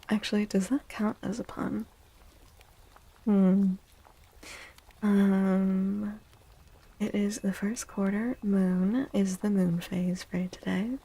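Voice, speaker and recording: A woman speaking in a soft ASMR style as a streamer, breathy and often near a whisper, gentle and playfully theatrical with drawn-out words. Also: medium pitch, poor audio. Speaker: streamer hosting a tarot and astrology stream. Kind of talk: soft-spoken ASMR, casual live stream chat.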